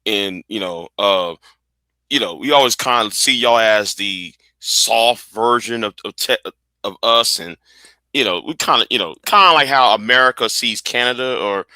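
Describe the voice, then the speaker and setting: Bright, halting Texan man. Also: lowish voice, no echo. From Texas. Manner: halting, many restarts and fillers. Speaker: interview host. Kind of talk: casual interview.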